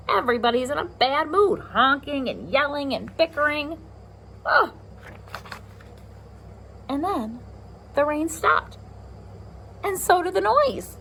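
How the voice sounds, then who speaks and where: A woman reading a picture book aloud to young children, expressive, with chatty asides to her listeners. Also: high voice, low-quality recording. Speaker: pre-K teacher reading aloud.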